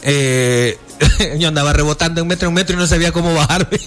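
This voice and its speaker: A man's voice: a little high, steady tone, fairly quick, a bit bright, soft background music. A sports commentator cohosting a radio chat, a man who laughs often and jokes along.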